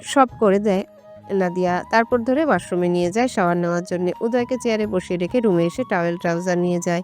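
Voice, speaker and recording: A woman's voice: lowish voice, fast, a little mellow, faint music behind. A woman storyteller reading a romantic story as scripted, dramatic narration.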